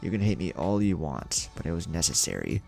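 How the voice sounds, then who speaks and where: A self-assured young male ninja, acted out by a male storyteller speaks calmly and bluntly. The voice is very low and gravelly, with music behind it. It is story dialogue, delivered plainly. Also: fairly quick, heavily acted. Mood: blunt, unrepentant. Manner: calm, plain-spoken. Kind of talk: character dialogue in narrated story.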